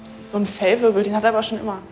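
A woman's voice: medium pitch, level tone, racing, darkish tone, soft background music, natural voice. A young actress playing a stubborn, horse-loving teenage girl who speaks frankly. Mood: sulky.

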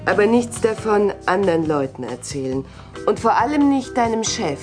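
A woman's voice: mid-range voice, very animated, moderate pace, music in the background, close to an everyday voice. An actress playing a secretive, assured woman who coaxes others into a cult in a German audio drama. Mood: friendly, coaxing. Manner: coaxing, assured.

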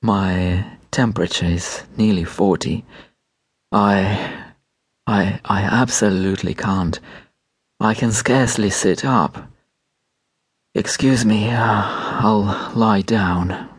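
A reader acts a sick, exhausted male doctor in a literary story. He mutters and stalls, halting between phrases. The tone is weary and grudging. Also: mid-range voice, expressive. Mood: weary muttering.

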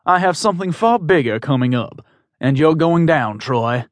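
A man's voice: a little high, lively intonation, normal speed, dry recording, pitched above the performer's own voice, natural voice. A man reading aloud and voicing a bitter, threatening grieving father. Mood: menacing. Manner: menacing, scornful.

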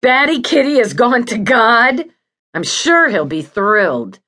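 A woman's voice: a little high, big pitch swings, a little slow, fairly bright tone, pitched above the performer's own voice, heavily acted. A woman voicing an agitated, foul-mouthed female patient in an audiobook, bitter, demanding and in pain. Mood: bitter sarcasm. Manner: pleading, snarling, accusatory. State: in pain, desperate. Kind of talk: audiobook character acting.

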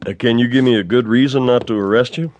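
An actor playing a fair-minded, middle-aged town marshal in an audio western, with an American accent and a measured, dryly folksy way of talking. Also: male voice, mid-range voice, a little mellow, natural voice. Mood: stern, probing. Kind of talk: audio western drama acting.

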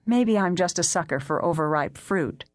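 A woman's voice: on the low side, lively intonation, normal speed, no room sound, clean studio sound, close to an everyday voice. A woman voicing a guarded, evasive professional woman in an audiobook story, with a clipped, careful manner.

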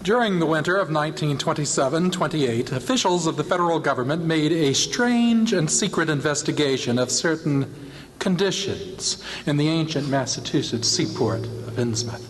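A man's voice: fairly high pitch, expressive, normal speed. A man delivering formal scripted narration for a radio drama. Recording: long reverb, faint noise.

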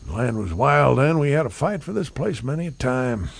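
Creaky, folksy old frontier rancher. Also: male voice, mid-range voice, sing-song, moderate pace, heavily acted. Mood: reminiscing, proud. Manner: folksy, rambling, hesitant. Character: aging, folksy, hospitable male frontier rancher. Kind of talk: western audio drama acting.

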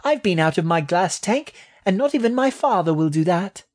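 A man's voice: sits high, expressive, moderate pace, voice pushed up. A man voicing a tiny young female rat in a children's story, pert and proud, with a thin childlike voice. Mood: pert, challenging. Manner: pert, indignant, questioning. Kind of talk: children's audiobook character.

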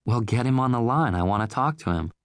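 A man's voice: mid-range voice, expressive, fast, no echo, studio quality, pitched above the performer's own voice, close to an everyday voice. A man voicing an imperious middle-aged newswoman with a Southern accent, speaking in a haughty, insistent way.

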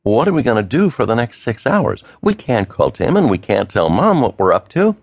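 A man's voice: medium pitch, very animated, normal speed, no room sound. A man voicing an earnest, eager adult son. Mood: calm. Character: eager adult son, quick-thinking and calm.

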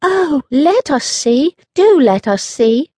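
A woman's voice: sits high, very animated, slow pace, warm tone, dry recording, clean studio sound, voice pushed up, full character voice. A woman voicing curious, polite young children in a storybook, with an eager, wondering manner. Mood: eager, pleading.

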